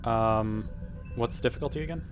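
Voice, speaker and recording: A man's voice: mid-range voice, brisk, music in the background. A man talking casually as a player working through moves in a tabletop role-playing game.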